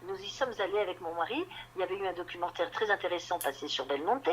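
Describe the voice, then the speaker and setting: Halting woman novelist in an interview. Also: on the low side, lively intonation, a little hiss or hum. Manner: halting, repeats words while searching for them. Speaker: novelist guest. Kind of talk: radio interview conversation.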